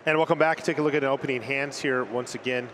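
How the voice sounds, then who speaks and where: A man commentating a card game tournament in a lively, conversational way, with filler words here and there. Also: mid-range voice, fast talker, slight background noise, low-quality recording. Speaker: esports commentator. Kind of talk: play-by-play commentary.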